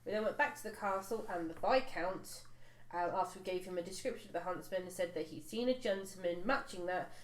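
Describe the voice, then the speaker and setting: A woman's voice: on the low side, fairly quick. Woman recapping a tabletop game, echoey. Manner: recaps with many fillers and restarts. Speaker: player recapping the campaign. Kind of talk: casual chat around a tabletop game. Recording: echoey room, faint noise.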